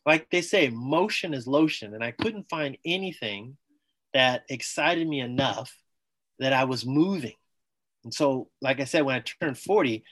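A man's voice: mid-range voice, a bit bright. Enthusiastic man telling his story. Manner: enthusiastic, talks in bursts, acts out quoted dialogue. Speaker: podcast guest, former Olympic athlete. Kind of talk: conversational interview storytelling.

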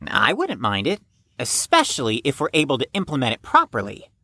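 Blunt, prickly young female patient for audio drama, played by a woman: low, bright, wildly swinging intonation. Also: wildly swooping pitch, brisk, husky, dry recording, heavily acted. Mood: skeptical, grudging.

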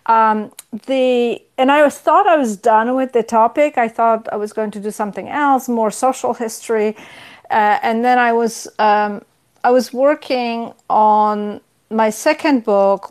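A middle-aged woman speaks as an academic interview guest, with a Polish accent. Her voice is clean and smooth. She talks thoughtfully and haltingly, often correcting herself as she explains. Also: on the high side, fairly even intonation, a little mellow. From Poland. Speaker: history professor, interview guest.

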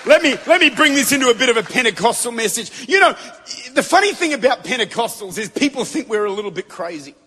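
A man preaching with a very high, bright, tense voice that carries a husky edge. He is fervent, rising into shouted declarations. His voice rings with the long reverb of a large church hall. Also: sing-song, quick pace, a faint crowd. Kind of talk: Pentecostal sermon. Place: large church before a congregation.

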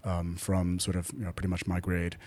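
A man's voice: sits low, completely flat delivery, brisk, darkish tone, breathy edge. A middle-aged man from Taiwan, a podcast guest answering questions thoughtfully with many ums and uhs. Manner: thoughtful, many hesitations and fillers. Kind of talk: relaxed conversational interview.

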